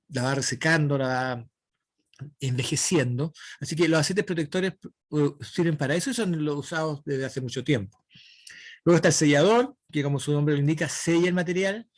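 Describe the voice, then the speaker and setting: A man's voice: on the high side, moderate pace, no room sound. A man giving a woodworking lecture online, explaining step by step and often checking in with his students. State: dry throat. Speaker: woodworking instructor.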